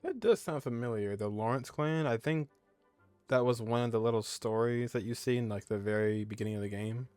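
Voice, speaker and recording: A male gamer with a medium-pitched voice commentates casually on a playthrough. He muses aloud as he goes, in a dry recording with quiet game music behind him. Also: a little fast, clear, resonant tone, no room sound. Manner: musing, thinking aloud. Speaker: gamer, video creator.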